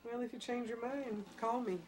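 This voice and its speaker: A woman's voice: fairly high pitch, fairly even intonation, moderate pace, darkish tone, close to an everyday voice. A woman playing a worldly, breezy co-owner of a dance hall in a drama, talking in a casual, clipped, coaxing way. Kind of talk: dramatic dialogue in an audio play or table reading.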